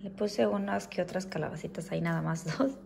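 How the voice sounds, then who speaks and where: Home-decor vlogger, woman, relaxed and chatty, lots of little fillers, slight fry in the voice. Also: fairly low pitch, brisk, a little hiss or hum. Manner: chatty, full of diminutives and fillers. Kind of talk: casual how-to chat. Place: at home indoors.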